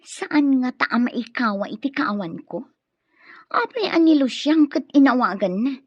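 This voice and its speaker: A woman's voice: sits high, lively intonation, normal speed, husky, dry recording, highs cut off. A woman playing an impatient, scheming young daughter in an Ilocano radio drama, with an Ilocano accent. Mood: annoyed, impatient.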